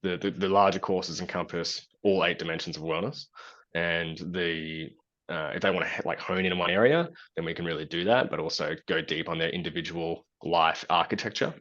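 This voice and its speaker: A man's voice: low voice, fairly quick, a bit bright, lo-fi sound. A man in his thirties, a guest on a video call, talking through ideas thoughtfully with many fillers and restarts. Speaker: guest, business founder. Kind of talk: relaxed conversational interview. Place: small plain room indoors.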